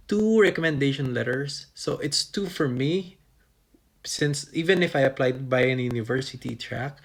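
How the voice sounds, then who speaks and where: A young man from the Philippines talks through a long application checklist point by point, in a casual vlog style. His voice has a gravelly fry and a husky, slightly rough edge. He sits at a middling pitch. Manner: talks through a checklist item by item, with restarts and fillers. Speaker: vlogger giving scholarship application advice. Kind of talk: casual explanatory walkthrough.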